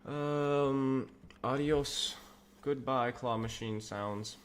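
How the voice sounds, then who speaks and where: A man's voice: medium pitch, very slow, smooth. A young man chatting casually on a live stream while he works, rambling through viewer questions with self-amused asides. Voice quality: says he has a loud voice. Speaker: game developer streamer.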